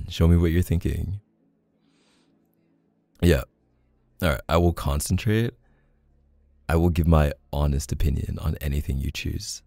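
A man with a deep, mellow voice plays an affectionate boyfriend in an intimate roleplay. He talks casually and unhurriedly, hesitating in a bashful, playful way. The recording is close and dry. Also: measured pace, gravelly, long pauses, dry recording. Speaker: ASMR boyfriend roleplay performer. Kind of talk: intimate casual one-sided roleplay acting.

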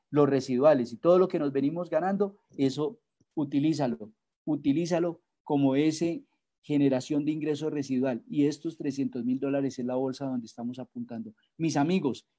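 A man's voice: mid-range voice, dark tone. A man giving an online sales presentation over a video call, persuasive and full of rhetorical questions.

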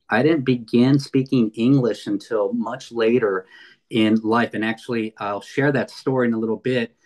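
A man in his fifties from California's San Fernando Valley, giving a heartfelt webinar talk over a video call with many fillers and restarts. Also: lowish voice. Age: 50s. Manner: earnest, frequent fillers and restarts. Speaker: guest speaker. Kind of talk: webinar presentation.

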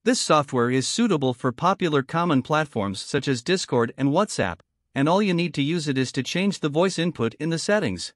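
A man reading scripted narration for a promotional software tutorial. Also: a little high, animated, a little fast, dry recording, clean studio sound.

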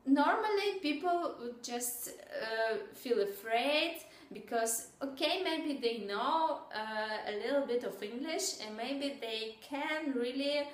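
Russian accent girl chatting to the camera, slow and full of ums, roomy sound. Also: high voice, lively intonation. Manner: hesitant, many fillers and restarts, gestures while talking. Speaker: vlogger. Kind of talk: casual talk to camera. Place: small indoor room in front of a bamboo-print wall. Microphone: camera at a short distance. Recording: echoey room.

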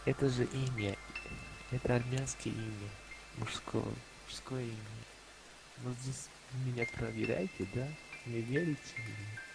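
A man from Armenia with an Armenian accent, speaking Russian as a second language in a halting voice chat about faith, full of pauses and restarts. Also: medium pitch, vocal fry, over music, rough recording. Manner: halting, many fillers and restarts. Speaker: chat participant. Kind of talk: casual religious discussion. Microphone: walkie-talkie voice chat app.

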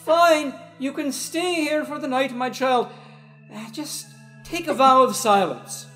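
A middle-aged man playing a weary, deadpan parish priest in an improvised soap opera, dry and solemn. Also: very high voice, unhurried, faint music behind, heavily acted. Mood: resigned.

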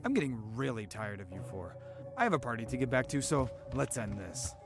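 An actor playing a menacing, smug billionaire CEO in an audio drama, taunting and condescending. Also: male voice, medium pitch, big pitch swings, a little fast. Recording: music bed behind dialogue.

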